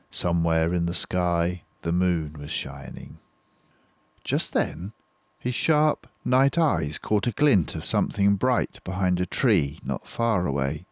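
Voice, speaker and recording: An older man reads a children's story in a very deep voice. His delivery is unhurried and careful, building suspense, and the sound is dry with no room around it. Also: dry recording. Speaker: storybook reader. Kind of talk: expressive children's story narration.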